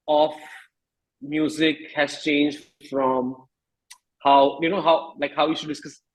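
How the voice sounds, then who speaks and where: A man with an Indian accent talking candidly as an interview guest, emphatic but halting, often repeating phrases, in a husky voice. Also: fairly high pitch, low-quality recording. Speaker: musician guest.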